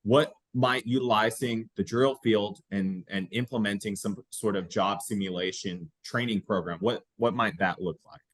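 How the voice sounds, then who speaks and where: A man's voice: lowish voice, moderate pace, few pauses. A man hosting an informal instructional podcast discussion, explaining in a rambling way, repeating words and checking in with "right?". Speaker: podcast host and fire academy fitness instructor. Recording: roomy, echoey sound.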